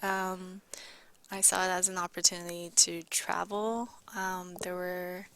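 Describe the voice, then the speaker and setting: Low, gravelly woman from Canada. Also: a little slow, slight background noise. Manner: relaxed, many fillers, laughs easily. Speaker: guest. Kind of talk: casual interview.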